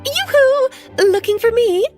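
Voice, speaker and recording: A woman's voice: very high voice, lively intonation, unhurried, darkish tone, soft background music. A woman playing a playful, flamboyant, mischievous witch who talks in a theatrical, teasing, sing-song way in game cutscene dialogue.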